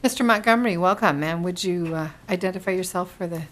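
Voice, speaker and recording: A woman's voice: fairly low pitch, fast, a little hiss or hum. A woman chairing a committee hearing in an informal, hesitant way, searching for her words. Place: legislative committee hearing room.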